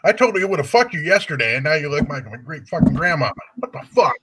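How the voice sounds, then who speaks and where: Husky, bright-toned joking man. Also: fairly high pitch, big pitch swings, fast. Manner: joking, crude, laughs often. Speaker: podcast co-host. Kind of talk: casual chat.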